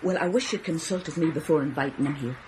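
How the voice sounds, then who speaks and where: An actress playing a middle-aged wife, questioning and quietly disapproving, in a radio drama. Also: female voice, sits low, fast, background noise, natural voice. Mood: suspicious, reproachful.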